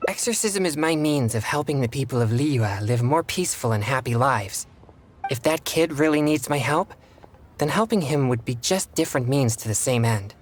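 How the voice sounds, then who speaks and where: A courteous, reserved young man, an exorcist from a video game, played by an actor. His phrasing is formal and measured, his pitch sits mid-range, and there is clear noise and ambience behind him. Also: expressive, natural voice. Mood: earnest, grateful. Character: earnest, polite young male exorcist, reserved and kind. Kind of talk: video game character dialogue.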